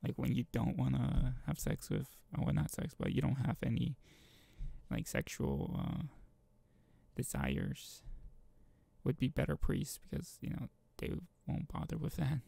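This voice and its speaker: Gravelly, husky man doing casual game commentary. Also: mid-range voice, big pitch swings, a little slow, mellow, dry recording. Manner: rambling, hesitant, thinking aloud. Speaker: gaming video host. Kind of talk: casual let's play commentary with read-aloud visual novel text.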